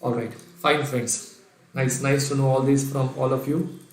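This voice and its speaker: A man's voice: medium pitch, fairly even intonation, a little slow, roomy sound, low-quality recording. Indian man teaching a live class. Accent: Indian English. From Kerala, India. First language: Sindhi. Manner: dictates steps, reads out viewers' names and chats to them. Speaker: finance lecturer. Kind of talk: live online revision lecture.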